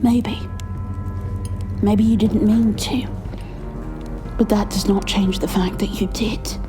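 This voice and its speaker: A woman playing an elegant, passionate vampire noblewoman in an ASMR roleplay, with a formal, earnest and deliberate delivery. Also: mid-range voice, fairly even intonation, music in the background, close to an everyday voice. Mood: hurt, stern.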